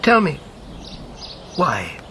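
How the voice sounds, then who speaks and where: A man's voice: a little high, huge pitch swings, extremely slow, bright, forceful tone, a little hiss or hum, exaggerated voice. A man acting in a radio drama as a dry, bitter old wartime codemaster, sardonic and clipped. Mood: bitter, insistent. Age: 70s.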